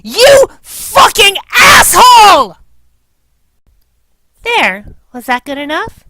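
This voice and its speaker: A woman's voice: very high-pitched, big pitch swings, drawn-out words, bright, forceful tone, no room sound, raised voice, studio quality, voice pushed up, heavily acted. A woman voicing a sweet, polite anime girl with an explosive, foul-mouthed temper in a fan parody.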